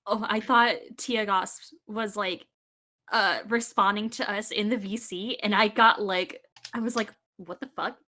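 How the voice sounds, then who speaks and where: A woman's voice: medium pitch, steady tone, unhurried, bright, forceful tone. A woman chatting casually as a panelist on a group podcast.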